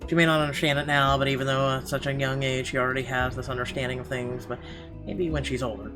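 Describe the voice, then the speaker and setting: A man reading a visual novel aloud as dramatic first-person narration, expressive with occasional stumbles. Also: mid-range voice, fast talker. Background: guitar music.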